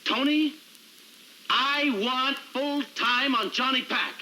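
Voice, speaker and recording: An actor playing an indignant, driven city prosecutor in an American crime drama, with a wry, wordy, sarcastic manner. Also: male voice, mid-range voice, very animated, brisk, pushed and bright, slight background noise. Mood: insistent.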